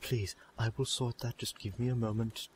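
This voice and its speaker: A man playing a flustered, polite adventuring gentleman with a husky voice in a fantasy audio drama. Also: mid-range voice, level tone, normal speed, lightly acted. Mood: placating.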